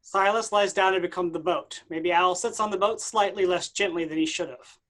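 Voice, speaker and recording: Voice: mid-range voice, fairly even intonation, normal speed, a bit bright. A matter-of-fact reader giving scripted stage directions for a play.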